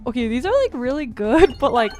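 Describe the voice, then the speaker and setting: A woman chats with her stream audience while she plays a game, rambling from one thought to the next, and she often breaks into giggles. Her speaking voice sits on the lower side and swoops around a lot in pitch. Faint game music plays behind her. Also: animated, brisk, darkish tone. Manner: rambling, giggly, self-deprecating, lots of filler words. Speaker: VTuber streamer.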